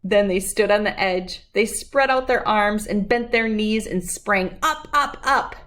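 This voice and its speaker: Slow-paced woman reading for children. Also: mid-range voice. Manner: slow, animated delivery with drawn-out emphasis for young listeners. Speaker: children's read-aloud host. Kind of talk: expressive storybook read-aloud with personal anecdote.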